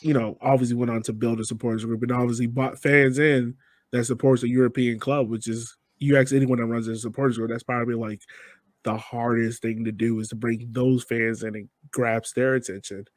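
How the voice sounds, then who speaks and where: A man's voice: medium pitch, fairly even intonation, fairly quick, dry recording. Male podcast host, close dry sound. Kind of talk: casual conversational interviewing.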